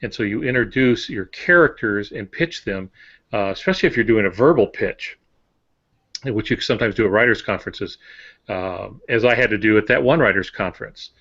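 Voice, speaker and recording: A man with a somewhat low voice gives advice as an author co-hosting a podcast. His delivery is explanatory and wry, casual but instructive. The recording sounds slightly dull and lo-fi. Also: fairly quick, a bit bright. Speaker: novelist and podcast co-host giving writing advice. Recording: dull, band-limited audio.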